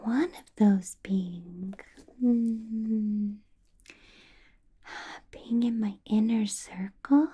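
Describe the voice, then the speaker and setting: A woman voicing a possessive, coolly menacing vampire boss in an ASMR roleplay, whispering slowly and deliberately with musing hums. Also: fairly high pitch, fairly even intonation, heavily acted. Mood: coolly probing. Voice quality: soft, breathy whisper. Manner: slow, deliberate, drawn-out pauses, musing hums.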